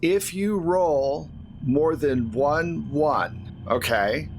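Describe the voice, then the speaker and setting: A man's voice: fairly high pitch, extremely slow. A man acting as game master, narrating scenes and explaining rules to the players as he checks in with them. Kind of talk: narrating and refereeing a tabletop game. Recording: clearly noisy background.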